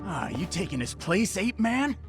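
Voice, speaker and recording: A man's voice: high voice, sing-song, normal speed. An actor playing a hot-headed male thug snarling threats in a video game dub. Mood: furious, taunting. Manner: menacing, shouted threats. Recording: music behind the voice. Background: game music.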